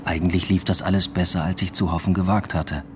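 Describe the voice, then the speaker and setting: A man's voice: low-pitched, level tone, quick pace, noisy background, close to an everyday voice. An actor playing an introspective young doctoral student who narrates his own story with restraint in a literary radio drama. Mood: pensive, subdued.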